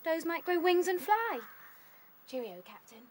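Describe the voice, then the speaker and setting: A woman's voice: very high voice, big pitch swings, unhurried, slight background noise, exaggerated voice. An actress playing a cheeky, mocking female rabbit in a radio play, taunting in manner.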